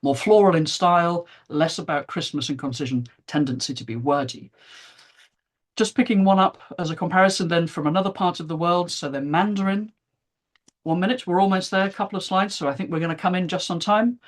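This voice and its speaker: A woman's voice: on the low side, fairly quick. A woman giving an academic presentation, explaining discursively and stressing key phrases. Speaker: university lecturer presenting.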